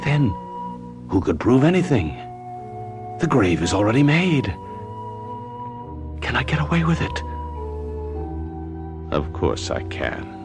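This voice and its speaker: An actor playing a greedy, unhinged, scheming husband who has turned murderer, muttering melodramatically in a radio drama monologue. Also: male voice, mid-range voice, wildly swooping pitch, slow pace, long pauses. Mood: frantic scheming. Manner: melodramatic, broken muttering, quotes verse. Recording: radio drama with music behind.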